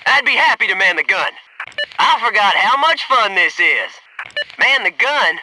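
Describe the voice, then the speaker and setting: Video game soldier: young, cocky, loyal, very animated delivery of clipped military callouts, noticeably noisy recording. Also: male voice, high voice, moderate pace. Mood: cocky, elated. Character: young male military sniper-spotter, cocky, keen, loyal squadmate. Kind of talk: video game combat voice lines, short radio callouts.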